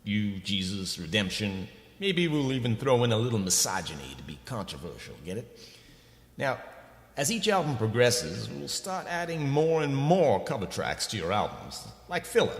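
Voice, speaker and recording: A man playing a pushy, greedy record company executive in a comic radio play, with slick salesman patter. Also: lowish voice. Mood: scheming, persuasive. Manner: fast-talking salesman patter with wheedling asides. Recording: echoey, long reverberant room sound.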